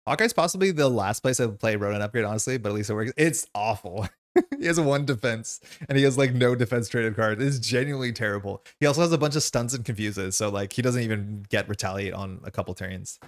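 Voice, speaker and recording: A man's voice: lowish voice, fast. A man whose first language is Slovenian, hosting and chatting casually and ramblingly while playing a card game. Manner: chatty and rambling, with frequent fillers and asides. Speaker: host.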